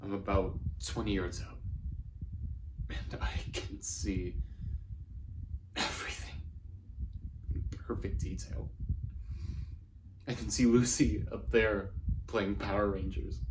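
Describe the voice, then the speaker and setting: A man performing a numb, bitter, grief-stricken father in a halting, subdued contemporary stage monologue. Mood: shaken, quiet. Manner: halting, subdued, low-key naturalistic delivery. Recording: noisy amateur room recording.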